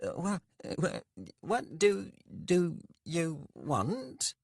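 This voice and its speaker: A man's voice: fairly high pitch, very animated, unhurried, dry recording, studio quality, voice pushed up, heavily acted. A man voicing a plump, pompous merchant, cowardly and whiny, with a wavery, nasal, almost feminine voice. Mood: frightened.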